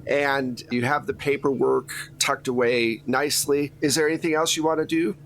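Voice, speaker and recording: A man narrates a tabletop role-playing game as its game master. His voice sits at an average pitch and his delivery is conversational and teasing. A little noise runs behind him. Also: fairly even intonation, normal speed, fairly bright tone.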